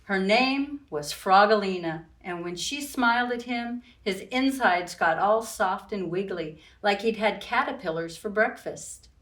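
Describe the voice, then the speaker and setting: A woman reads to young children in an expressive, unhurried storytime style. She speaks directly to her little listeners as a librarian host would. The recording is roomy and echoing, with her voice some way from the mic. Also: mid-range voice. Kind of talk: children's storytime read-aloud with sing-song rhymes. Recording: roomy echo, distant from the mic.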